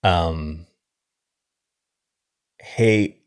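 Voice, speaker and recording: A man with a low, deep voice talks through films in a casual discussion. His delivery is halting and wandering, with thoughts often left hanging as he starts the next one. He sounds like a podcast co-host chatting informally. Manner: halting, rambling, trailing off mid-thought.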